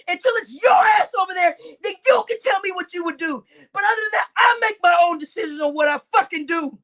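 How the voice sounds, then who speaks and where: A woman livestreamer, crying as she rants at her chat, shouting with heavy swearing and repeating her outbursts. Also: very high-pitched, a little fast. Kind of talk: angry livestream rant to chat.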